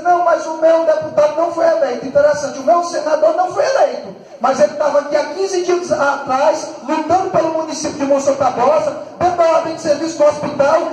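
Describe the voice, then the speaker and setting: Very high-pitched Brazilian man giving an echoing speech. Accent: northeastern Brazilian. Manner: emphatic, repetitive, rhetorical questions. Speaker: mayor. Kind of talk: impassioned political speech. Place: large open-roofed hall, at a head table. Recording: very echoey hall public-address recording.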